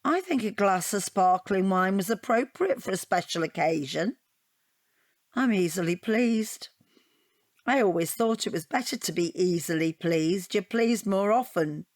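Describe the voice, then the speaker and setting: An actress playing a chatty, defensive middle-aged British divorcee in an audio comedy drama, rambling and self-justifying with a wry edge. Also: female voice, medium pitch, lively intonation, measured pace, no room sound, clean studio sound, natural voice.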